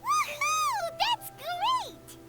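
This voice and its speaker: A tiny, excitable fairy companion from a video game, chatters in a squeaky childlike voice. It sits extremely high and swings wildly in pitch. Loud music plays behind it. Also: female voice, extremely slow, full character voice. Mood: excited. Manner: refers to herself in third person.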